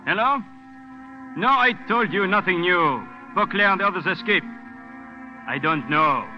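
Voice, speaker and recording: An actor playing an officious French police inspector in 1940s radio drama, formal, terse and politely menacing. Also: male voice, sits high, lively intonation, a little slow, faint music behind. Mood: brisk, suspicious. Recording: muffled old radio broadcast.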